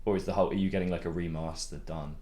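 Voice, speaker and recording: A young man with a very low voice, chatting casually and swearing now and then as he mixes his own songs on a live stream. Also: fairly even intonation, very fast. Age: young adult. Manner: rambling, laid-back, swears casually, laughs a lot. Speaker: songwriter streaming his music production. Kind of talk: casual live-stream chat while mixing. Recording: loud music playing under the voice.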